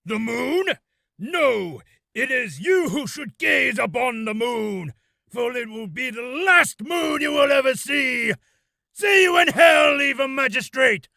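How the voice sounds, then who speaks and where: A man's voice: very high-pitched, animated, slow, vocal fry, pushed and bright, no room sound, shouting, heavily acted. A man voicing a bombastic heroic warrior in declamatory video game style. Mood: defiant. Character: bombastic heroic male warrior damning a villain. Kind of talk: video game character voice acting.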